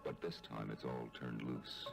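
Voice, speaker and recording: An extremely low, gravelly horror trailer announcer, ominous and deliberate. It sounds like an old 1970s film promo, with loud music behind the voice. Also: male voice, steady tone, full character voice. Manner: slow, ominous.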